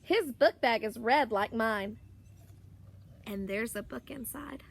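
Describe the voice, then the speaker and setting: A woman reading a children's picture book aloud as a teacher would, expressively, with drawn-out words and deliberate pauses. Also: fairly high pitch, vocal fry, slight background noise. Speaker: primary school teacher.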